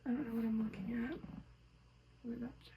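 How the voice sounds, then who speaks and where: A woman's voice: a little high, steady tone, quick pace, highs cut off, background noise. A woman reading a horror story aloud as host, slipping between dramatic reading and casual commentary.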